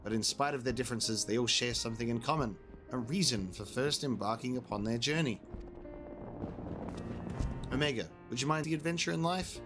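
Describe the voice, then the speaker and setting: A man voicing a shrewd, motherly female guild receptionist in a role-playing game, reading her lines with gentle, measured delivery over game music. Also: mid-range voice, natural voice. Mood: wise, reflective.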